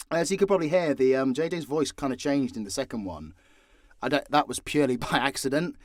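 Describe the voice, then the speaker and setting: A man's voice: mid-range voice, no room sound, studio quality. A British man chatting casually as a voice actor and audio creator, sweary and self-deprecating as he tells stories. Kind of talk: casual candid Q&A chat.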